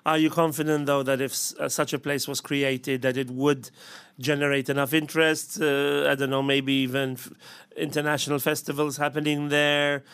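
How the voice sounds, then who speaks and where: A man's voice: fairly high pitch, level tone, normal speed, few pauses, fairly bright tone, clear, resonant tone, no echo. A man hosting an interview, asking curious questions and adding many short affirmations. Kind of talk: radio interview.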